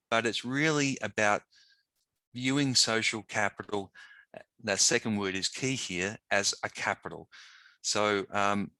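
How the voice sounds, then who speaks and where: A man presenting a webinar as a teacher of the deaf, slightly hoarse and talking informally with frequent pauses and fillers. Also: mid-range voice, pushed and bright, no room sound, rough recording. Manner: informal, conversational, with frequent ums and restarts.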